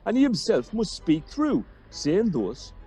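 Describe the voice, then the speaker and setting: A woman's voice: medium pitch, big pitch swings, measured pace, warm tone, faint music behind. A woman playing a bossy, vain amateur actor, theatrical and emphatic, in a Shakespeare radio play. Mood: grandly theatrical. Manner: theatrical, emphatic, self-important.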